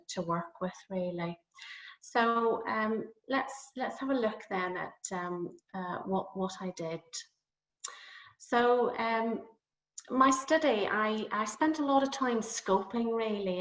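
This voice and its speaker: A middle-aged woman with a Northern Irish accent gives an informal webinar presentation as a guest lecturer, chatty and a little hesitant, speaking over a video call. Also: mid-range voice. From Northern Ireland. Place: office or home, on a video call. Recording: roomy, echoing webinar audio.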